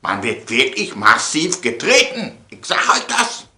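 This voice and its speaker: A man's voice: on the high side, huge pitch swings, a little slow, pushed and bright. A man hosting a comedic monologue to viewers, theatrical and repeating phrases for emphasis. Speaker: host of an online show. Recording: echoey room sound.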